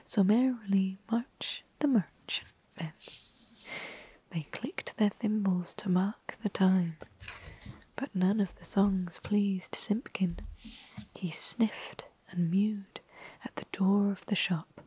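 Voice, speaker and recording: A woman telling a classic storybook tale in a soft, unhurried ASMR whisper. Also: medium pitch, fairly even intonation, breathy edge, rough recording.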